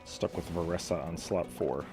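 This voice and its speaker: A game streamer giving casual, offhand commentary in a deadpan, slangy way. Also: low-pitched, moderate pace, loud music behind the voice.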